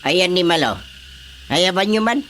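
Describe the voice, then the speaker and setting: A man with a Filipino accent acting a calm, measured village chairman who placates quarrelling neighbours in a radio comedy drama. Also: high-pitched, a little hiss or hum, full character voice.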